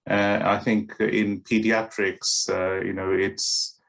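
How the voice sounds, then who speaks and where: Middle-aged male doctor, low-pitched, halting delivery with many ums and restarts. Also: little pitch movement, vocal fry, a bit bright. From Kenya and West London. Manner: hesitant, many fillers and restarts. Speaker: paediatric doctor. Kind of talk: interview answers to camera.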